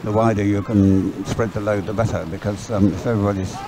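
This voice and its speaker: A man giving conversational live sports commentary in a hesitant, halting way, often repeating his words. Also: on the low side, little pitch movement, noisy background. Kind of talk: live dragon boat race commentary, conversational. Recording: live sports coverage, crowd in background.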